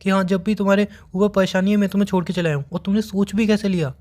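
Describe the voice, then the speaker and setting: A man's voice: high-pitched, steady tone, fast talker, a little mellow, close to an everyday voice. A young man with an Indian accent acting as a worried, devoted boyfriend in a phone-call drama, pleading and questioning insistently. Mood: insistent, hurt.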